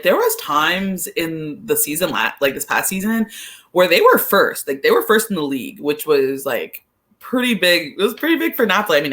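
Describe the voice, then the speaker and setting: Bright, forceful man talking soccer casually. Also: on the high side. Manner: rambling, halting, self-correcting. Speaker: sports podcast co-host.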